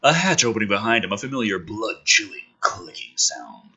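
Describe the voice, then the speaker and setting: A man doing a dramatic script reading with sarcastic, sweary commentary, swinging between hushed narration and exasperated ranting. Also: lowish voice, wildly swooping pitch, moderate pace, creaky, husky. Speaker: host of a gaming and commentary channel.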